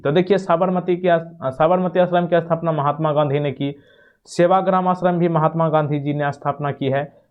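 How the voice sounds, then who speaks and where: A man teaching an exam-prep quiz, reading out questions, giving answers and repeating key points instructively. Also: fairly high pitch. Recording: dull, muffled lo-fi microphone.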